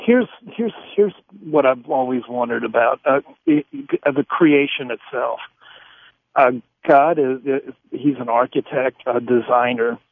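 A man with a husky voice, calling a radio show and haltingly searching for his words. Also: medium pitch, no room sound. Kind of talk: rambling spontaneous question. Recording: tinny, dry phone line.

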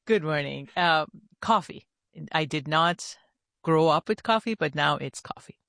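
A woman's voice: deep voice, a little slow, creaky, a bit bright, no room sound, studio quality. A woman from Iran, a university research leader answering interview questions and explaining things carefully. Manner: explains carefully, with short affirmative replies.

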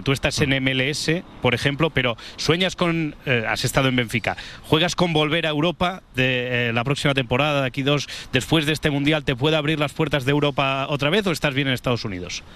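A man's voice: medium pitch, fairly bright tone. Chatty male sports reporter. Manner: long, chatty questions.

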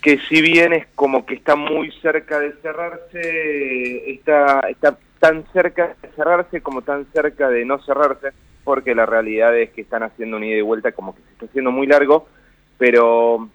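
A man's voice: medium pitch, fairly even intonation, normal speed, no echo, phone-quality sound. A man from Buenos Aires with a Rioplatense accent and a slight northern lilt, giving finance commentary on the radio, rambling and restarting often. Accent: Rioplatense Spanish with a slight northern Argentine lilt. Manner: rambling, with frequent restarts and fillers.

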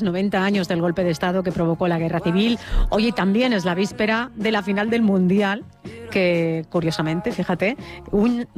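A woman's voice: fairly low pitch, darkish tone. A woman with a Spain accent hosting a radio show in a conversational style. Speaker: radio host.

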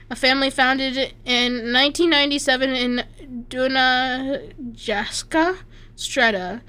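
A woman's voice: sits high, sharp tone, clear, resonant tone. A woman from Slovakia casually reading an article aloud, stumbling over names and adding offhand asides.

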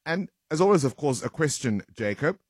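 A man's voice: fairly high pitch, big pitch swings, normal speed, slightly rough, dry recording, studio quality. A man with a South African accent, hosting a live radio breakfast show with casual banter.

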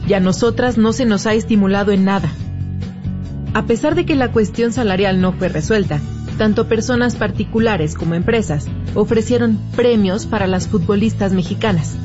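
Woman narrator, mid pitch, informative documentary read over music. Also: dull audio. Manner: measured and informative.

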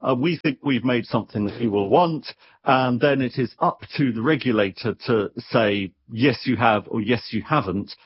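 A man speaking as an expert guest, explaining things conversationally in an animated, wry way, sometimes doubling back on his words. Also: medium pitch, normal speed, breathy edge, muffled recording.

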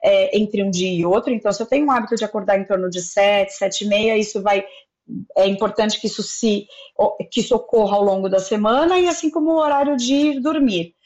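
Calm woman doctor on muffled audio. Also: mid-range voice, brisk. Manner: calm, methodical, lists advice point by point. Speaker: doctor giving sleep advice. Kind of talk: explanatory interview answers.

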